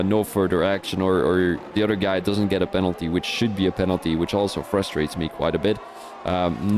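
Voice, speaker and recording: Low-voiced Dutch man commentating sim races. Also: a little mellow, slight background noise. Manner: chatty and rambling, with frequent restarts and fillers. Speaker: sim racing league commentator. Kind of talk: live race commentary mixed with casual chat.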